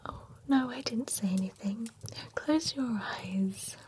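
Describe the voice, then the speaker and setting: A woman's voice: on the high side, a little hiss or hum. A woman whispering as a vampire who runs a spooky beauty spa, in an ASMR roleplay. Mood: soothing. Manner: soft, unhurried whisper throughout.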